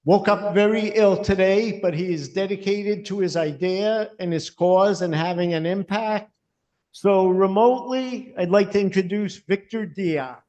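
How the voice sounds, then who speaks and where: Slow-spoken high-voiced male host. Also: a little mellow, highs cut off. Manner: slow, drawn-out announcing. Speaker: event host. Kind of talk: introducing contestants.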